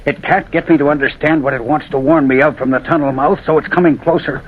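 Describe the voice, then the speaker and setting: A man's voice: fairly high pitch, steady tone, quick pace, close to an everyday voice. An American actor playing a haunted railroad signalman, fraught and insistent, obsessed with a ghost, in old-time radio drama style. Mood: anguished, urgent. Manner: fraught, intense, repeats phrases insistently. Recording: muffled, noisy vintage radio recording.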